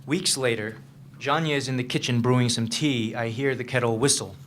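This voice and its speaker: A man's voice: medium pitch, steady tone, fairly quick, a bit bright, close to an everyday voice. Man acting troubled, guarded man, noisy live recording. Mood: measured narration. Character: troubled, guarded man confiding in his girlfriend, haunted by past. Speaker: actor in a staged reading. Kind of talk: dramatic stage reading of a novel.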